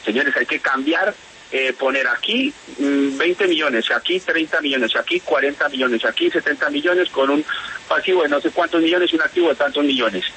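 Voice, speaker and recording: A man's voice: a little high, normal speed, pushed and bright, slight background noise, poor audio. Spanish-born man with a Bogotá accent. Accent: Bogotá Colombian Spanish. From Spain. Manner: hesitant, repeats words, restarts. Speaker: interviewee, whistleblower. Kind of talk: phone interview on radio.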